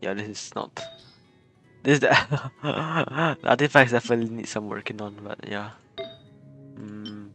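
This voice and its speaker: A man live-streaming a video game, chatting casually and thinking aloud in a halting way. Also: on the low side, fairly even intonation, a bit bright. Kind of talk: casual live-stream chat while gaming. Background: faint game music.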